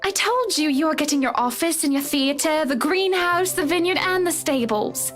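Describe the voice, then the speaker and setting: A woman's voice: high-pitched, lively intonation, moderate pace, fairly bright tone, faint music behind. A woman playing a chatty, self-assured wealthy wife in a dramatic visual novel, with a breezy, assertive manner. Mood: defensive, assertive. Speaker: game character.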